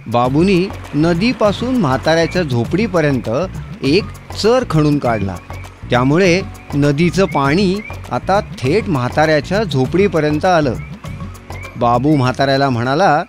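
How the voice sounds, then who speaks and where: Higher-pitched man narrating a fairy tale. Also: lively intonation, normal speed, faint music behind.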